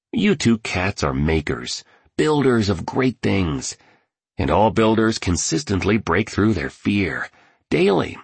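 A man voicing an eccentric, grandly encouraging billionaire mentor in an audiobook, emphatic and gravelly. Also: lowish voice, dry recording, pitched above the performer's own voice, heavily acted. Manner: emphatic, exclamatory, preaching.

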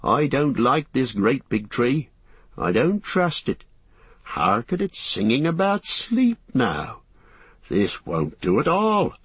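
A man's voice: medium pitch, sing-song, slow, a bit bright, breathy edge, no echo, full character voice. Man acting a dogged, rustic hobbit manservant. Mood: suspicious, muttering. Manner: muttering, dogged, deferential, rustic grammar. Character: worried, loyal, plain-spoken rustic hobbit manservant. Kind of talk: acted audiobook dialogue.

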